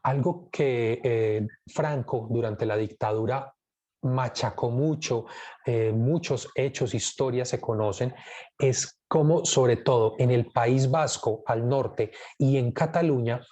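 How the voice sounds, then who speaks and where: A man with a mellow, warm voice who hosts a film club. He talks in a loose, reflective way and often stops to search for words. His pitch sits in an ordinary middle range for a man. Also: takes it slowly. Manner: reflective, rambling, thinking aloud with many fillers. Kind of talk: casual live film commentary.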